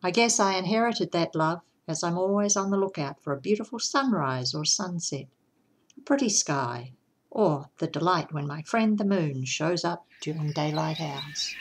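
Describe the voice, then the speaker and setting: A middle-aged woman from New Zealand with a New Zealand accent, gently narrating a scripted tour of her art studio. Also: on the low side, lively intonation, moderate pace. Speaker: artist giving a studio tour.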